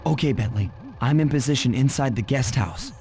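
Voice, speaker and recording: Guy as a smooth cocky raccoon thief, cartoon game, laid back n wry, medium pitch, music under it. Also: fairly quick, natural voice. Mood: wry, easygoing. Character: suave, cocky young male raccoon master thief.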